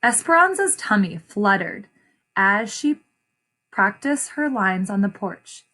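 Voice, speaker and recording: A woman reading a picture book aloud like a teacher, deliberate and expressive, pausing to explain and repeat phrases for young listeners. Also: medium pitch. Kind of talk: children's read-aloud with short explanations.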